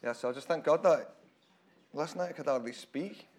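Croaky-voiced man preaching a sermon. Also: fairly high pitch, moderate pace, a little mellow. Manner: conversational, self-deprecating, repeats phrases. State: hoarse, croaky voice. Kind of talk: informal church sermon. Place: church hall with congregation.

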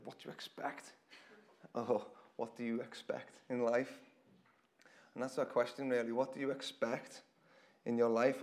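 A man with a hoarse, croaky voice preaching an informal church sermon to a congregation, talking in a chatty way and often repeating his words. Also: medium pitch, darkish tone. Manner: conversational, self-deprecating, repeats phrases.

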